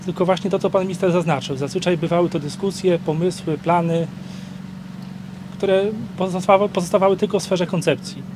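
Middle-aged male local official, high voice, earnest press remarks, clear street noise behind. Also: fairly even intonation, brisk. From Poland. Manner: earnest, emphatic, some restarts. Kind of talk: press statement. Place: outdoor town square. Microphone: cluster of press microphones on stands.

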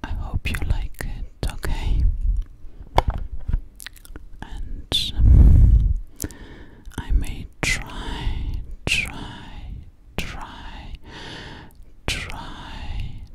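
A sweet, slightly sassy alien girl played by a young woman whispers in a soothing ASMR roleplay. She speaks extremely slowly and breathily, right up close to the microphone, lingering on words and repeating them. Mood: soft, coaxing. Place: small dim living room, indoors. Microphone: close studio microphone with foam cover, binaural.

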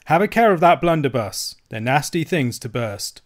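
A man reading a storybook voices a proud, stiff-necked Highland gentleman with a Scottish accent, bold, assured and dryly courteous. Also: sing-song, normal speed. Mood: bold, teasing.

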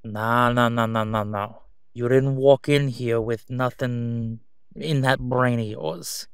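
A man playing a slick middle-aged crime boss in a roleplay audio drama, with a sarcastic, condescending, smooth-talking delivery. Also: medium pitch, fairly even intonation, slow pace, no room sound, clean studio sound. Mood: condescending, sly. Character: slick middle-aged male crime boss, mocking, sly, self-assured.

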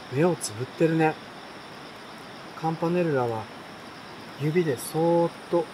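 Higher-voiced man reading a story outdoors. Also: a little mellow. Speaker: reader. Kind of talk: relaxed storybook read-aloud. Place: campsite outdoors by a fire and stream. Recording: outdoor night crickets and campfire noise. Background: insects, crackling fire, stream.

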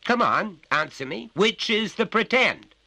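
Storybook tyrant father, pompous and menacing, read by a man, pitched up and lively. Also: measured pace, vocal fry, no echo, heavily acted. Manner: lecturing, condescending, menacing. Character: pompous overbearing middle-aged father.

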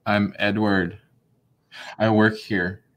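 A man's voice: on the low side, little pitch movement, slow pace, a bit bright. A young man playing an awkward, hesitant new office clerk in a comedy web series. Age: young adult.

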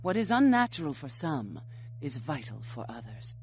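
A woman's voice: sits low, sing-song, moderate pace, darkish tone, slight background noise. Ancient, swooping, coolly menacing female vampire. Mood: cool, matter-of-fact. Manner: cryptic, formal, rhetorical questioning. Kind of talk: video game character acting.

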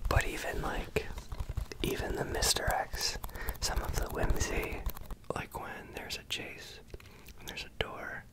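An ASMR host whispering close to the mic in a soft, meandering chat full of asides. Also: unhurried. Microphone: close microphone.

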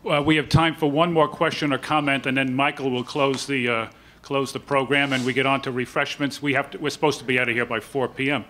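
A man's voice: mid-range voice, flat delivery, a little fast, bright, forceful tone. A man informally moderating an event, addressing the room, with some hall noise. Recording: slightly noisy hall ambience.

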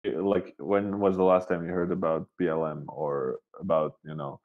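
A man from Switzerland co-hosting a podcast in casual, unscripted chat, halting as he searches for words. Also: deep voice, level tone, narrow, tinny audio. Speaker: podcast co-host.

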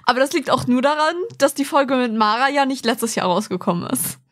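A woman with a slightly high voice co-hosts a podcast. She speaks casually, as in an informal conversation among fans. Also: expressive, a little fast, fairly bright tone. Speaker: podcast co-host.

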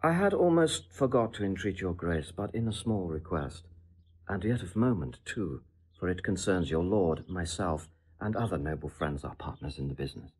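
Husky, scheming Roman seducer. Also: male voice, low voice, lively intonation, normal speed, darkish tone. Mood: humble, courtly. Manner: theatrical, declamatory, elaborate courtly phrasing. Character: smooth, scheming Roman seducer, flattering and insinuating. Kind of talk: classical Shakespearean audio drama acting.

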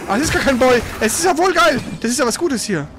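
Male let's player, casual and excitable, colloquial live game commentary over clearly audible game noise. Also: very high voice, fast talker. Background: loud video game sound.

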